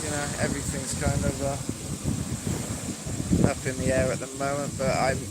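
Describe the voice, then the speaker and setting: An English man chatting casually during a live stream on the beach, with lots of fillers and pauses. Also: mid-range voice. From England. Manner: relaxed, hesitant, many fillers. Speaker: livestreamer. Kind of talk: casual live stream chat answering viewers. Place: outdoor beach, windy. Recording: loud wind and surf noise outdoors.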